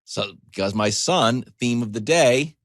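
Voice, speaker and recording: A man in his forties, a sports talk host, telling a comedic story in a casual, animated way with choppy asides and acted-out quotes. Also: mid-range voice, takes it slowly, no room sound, studio quality. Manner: animated, acts out quotes in dialogue.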